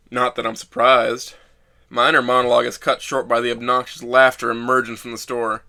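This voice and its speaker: A man's voice: mid-range voice, gravelly, bright, forceful tone. A man playing a bitter, self-loathing young man who narrates his own story in a sardonic, brooding way for an audio drama.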